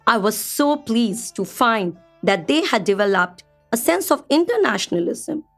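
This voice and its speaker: Earnest Indian lady, higher-voiced. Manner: formal, measured, earnest. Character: earnest, high-minded Indian woman traveller of early 1900s. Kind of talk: radio play monologue, formal address.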